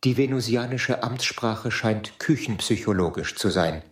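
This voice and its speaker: In a reverberant room, a slightly deep-voiced space traveler played by a man talks earnestly. He is the naive peacemaker of this radio play, measured and reasonable. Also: lively intonation, a bit bright. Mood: earnest, dry. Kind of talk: scripted audio drama acting. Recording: echoey room.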